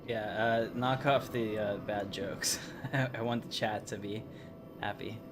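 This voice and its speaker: Low-pitched man livestreaming a game. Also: fairly even intonation, slow, music in the background. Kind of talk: casual live game commentary. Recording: clear background noise, game ambience.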